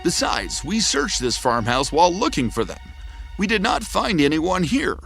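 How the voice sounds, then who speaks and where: A man voices a puzzled cartoon policeman with a slightly high voice and lively intonation. He sounds doubtful and questioning, with music clearly behind him. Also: moderate pace. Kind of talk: cartoon story acting. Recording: background music under the voice.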